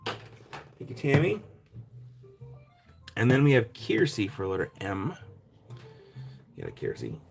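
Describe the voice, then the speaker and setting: Raspy man running a live rock sale. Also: on the low side, very animated, slow pace, long pauses, over music. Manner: chatty, thanks buyers by name. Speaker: live stream rock seller. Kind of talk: casual live sale chat.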